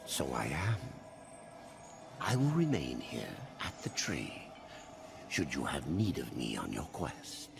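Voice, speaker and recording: A man voicing an ancient, cryptic male keeper of secrets in a dark fantasy game, theatrical and foreboding. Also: sing-song, over music, heavily acted. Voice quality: deep, gravelly. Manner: slow, theatrical, foreboding, swooping.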